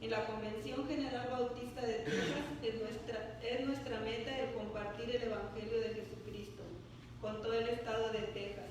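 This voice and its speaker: A woman's voice: a little high, fairly even intonation, moderate pace, background noise. Woman in a reverberant church hall, far off. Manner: tags sentences with a questioning "right?" and stumbles over words while reading. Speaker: church speaker addressing the congregation. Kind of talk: reading a mission report aloud to a congregation with asides. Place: church sanctuary. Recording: echoey hall picked up from a distant mic.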